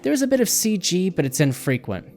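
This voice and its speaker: A man's voice: high voice, very animated, fast talker, darkish tone. A man reading a scripted video game review as a voice-over narration. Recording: music behind the voice. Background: background music.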